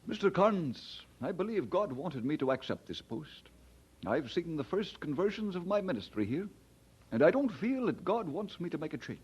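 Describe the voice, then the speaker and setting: Radio drama lead: humble, courteous minister, higher-pitched raspy voice, earnest delivery, dull vintage recording. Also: male voice, sing-song, moderate pace, darkish tone, heavily acted. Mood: thoughtful, concerned. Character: earnest, kindly, humble young male Scottish preacher.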